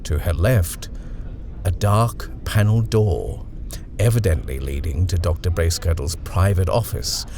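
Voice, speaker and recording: A man narrating a horror short story in an expressive, suspenseful reading style. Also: deep voice, measured pace, slight background noise.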